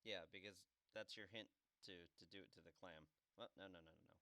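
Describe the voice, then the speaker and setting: A man's voice: sits low, steady tone, fast talker, no room sound. A man giving casual video game commentary as he plays. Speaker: video game let's-player. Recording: game music playing loudly behind voice. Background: orchestral video game music.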